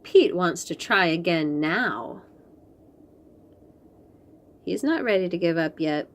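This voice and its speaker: A woman reading a picture book aloud to young children, slow and expressive, then chatting about the books. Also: fairly low pitch. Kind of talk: read-aloud storytime for young kids.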